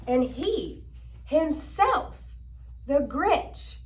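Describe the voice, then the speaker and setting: A woman narrates a children's storybook aloud. Her voice is high and her delivery is slow. She phrases deliberately and with dramatic expression. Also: sing-song. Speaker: storybook reader.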